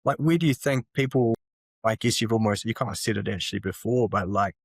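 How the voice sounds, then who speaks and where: Deep-ish guy hosting, asks loose rambly questions, dry close mic. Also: a little fast, creaky, dry recording. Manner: rambling, self-correcting questions, many affirmations. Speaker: interview host. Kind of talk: conversational interviewing.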